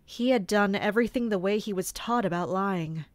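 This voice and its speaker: Observant royal mercenary, woman actor: mid-pitched, formal and deferential, measured audio drama delivery, close dry sound. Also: no echo, lightly acted. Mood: reflective, observant. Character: Kina, observant female mercenary serving a prince. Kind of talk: audio drama dialogue and inner narration.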